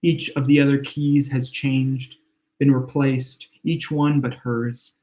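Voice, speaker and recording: Slightly hoarse man reading fiction. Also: medium pitch, level tone, a little mellow. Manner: measured, reflective first-person reading. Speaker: author. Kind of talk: reading own literary fiction aloud. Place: home room with exposed brick.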